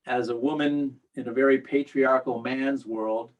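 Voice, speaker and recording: Guy hosting an interview, polite, kinda measured, normal pitch. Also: steady tone, dull audio. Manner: polite, measured, with some fillers. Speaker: interview host.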